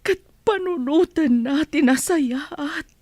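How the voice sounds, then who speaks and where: High-voiced, slow, pleading mother acted by a woman. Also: darkish tone, no room sound, clean studio sound. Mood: troubled, reflective. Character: anguished, pleading mother who serves as a household helper. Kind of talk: Ilocano radio drama acting.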